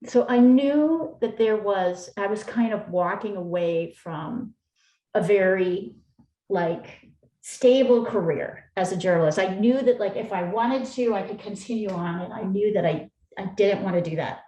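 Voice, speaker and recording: A woman's voice: medium pitch, a little slow. Woman art teacher, forties, from Seattle, chatty, lots of ums, echoey room. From Seattle, USA. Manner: chatty, many fillers, warm encouragement. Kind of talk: casual chat and teaching. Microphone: video call.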